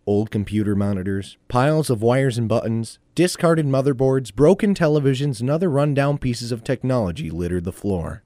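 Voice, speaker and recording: A man's voice: medium pitch, normal speed, hardly any pauses, warm tone, no room sound. A man narrating a scripted adventure story.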